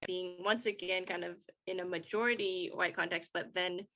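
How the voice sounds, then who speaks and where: A woman from the United States talks earnestly on a discussion panel. Her tone is bright and forward, and she stops often on soft fillers as she works through her thoughts. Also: lowish voice, steady tone, normal speed, rough recording. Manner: earnest, reflective, with frequent fillers.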